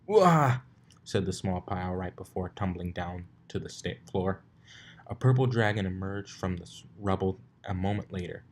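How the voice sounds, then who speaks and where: A man's voice: sits low, level tone, normal speed, darkish tone, a little hiss or hum. A man narrating a scripted story as an amateur reader, informal and given to wry asides. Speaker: amateur fan fiction reader.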